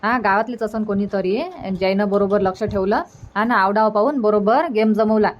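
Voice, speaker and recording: A woman's voice: medium pitch, steady tone, moderate pace, lo-fi sound, slight background noise, lightly acted. A woman voicing a practical village mother in a cartoon, gossipy and advising, repeating herself in a Varhadi Marathi accent. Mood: gossipy, concerned. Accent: Varhadi Marathi from Vidarbha. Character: practical, reassuring village mother of a married daughter. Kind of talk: colloquial cartoon dialogue.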